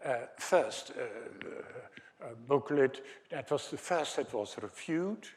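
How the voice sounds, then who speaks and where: Older Dutch-accented male academic, slow, halting lecture delivery with wry asides. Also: medium pitch, animated, darkish tone. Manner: hesitant, many fillers, wry asides to the audience. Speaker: academic speaker. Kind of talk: conference lecture with slides.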